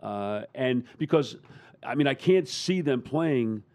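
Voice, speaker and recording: A man in a casual sports roundtable, talking as a panelist in a halting, rambling way. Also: mid-range voice, animated, darkish tone, no echo.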